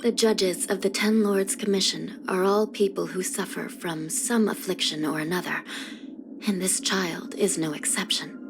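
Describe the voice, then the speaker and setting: A woman playing a weary, grave young judge of the underworld in a video game, speaking softly in measured, formal phrases. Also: fairly low pitch, fairly even intonation, vocal fry, noisy background. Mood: solemn, reflective. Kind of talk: scripted video game character voice lines. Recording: music under the voice. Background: background music.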